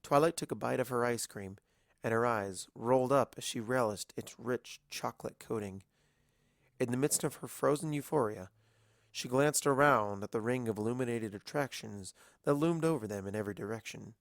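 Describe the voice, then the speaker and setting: A man's voice: mid-range voice, normal speed, vocal fry, no echo, clean studio sound. A man narrating a scripted story as a reader of fiction.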